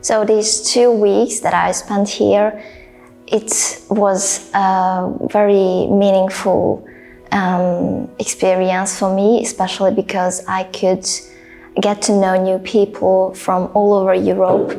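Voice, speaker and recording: A woman in her twenties from Hungary, giving calm, reflective interview answers. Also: mid-range voice, slow pace, gravelly, soft background music. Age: 20s. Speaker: program participant.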